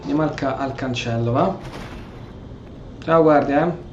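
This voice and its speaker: A man's voice: mid-range voice, normal speed, a little hiss or hum. An Italian man giving casual gameplay commentary as a streamer, often stumbling over and repeating his words. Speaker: gaming streamer. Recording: dull, echoey room recording.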